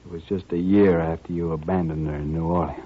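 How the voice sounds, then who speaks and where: A man acting a grim, bitter avenger in a 1950s radio western, speaking slowly and accusingly. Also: low voice, fairly even intonation. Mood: grim accusation. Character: grim, bitter male avenger out to kill a gambler. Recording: muffled vintage 1950s radio recording.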